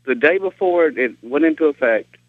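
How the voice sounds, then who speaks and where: A man's voice: a little high, lively intonation, a little fast, dry recording. A man calling in to a radio show, talking in an easygoing, conversational way. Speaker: caller. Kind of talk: phone-in question. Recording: tinny phone line.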